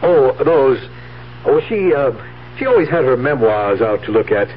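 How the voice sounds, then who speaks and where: An actor playing a greedy, calculating nephew who feigns grief in a 1970s radio drama. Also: male voice, medium pitch, sing-song, moderate pace, close to an everyday voice. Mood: feigned grief. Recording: noisy, muffled 1970s radio recording.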